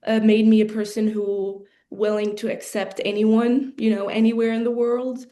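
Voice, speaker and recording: A woman's voice: fairly high pitch, flat delivery, normal speed, mellow. A woman in her twenties, a college athlete, giving an earnest personal talk with frequent ums and restarts. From Middle East. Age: 20s. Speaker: program alumna and college athlete. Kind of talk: prepared personal speech on a video call.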